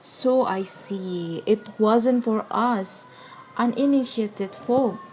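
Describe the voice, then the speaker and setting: A woman acting a concerned, sensible gentleman friend in a stage play, formal and courteous. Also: a little high, slow, noisy background. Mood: surprised, knowing.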